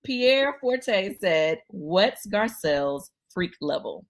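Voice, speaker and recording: Woman interviewer, expressive swooping voice, chatty. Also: mid-range voice, takes it slowly. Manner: lively, exclaims and laughs. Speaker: host. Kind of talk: casual interview chat.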